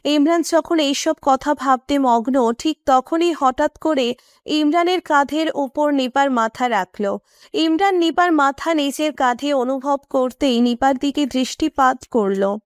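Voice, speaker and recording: High-pitched woman narrating a story. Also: measured pace, no echo, clean studio sound.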